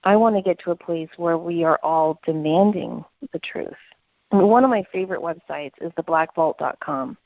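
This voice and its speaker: A woman's voice: on the low side, normal speed, no room sound, phone-quality sound. A woman co-hosting a conversational podcast, speaking in an earnest, opinionated way. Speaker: podcast co-host.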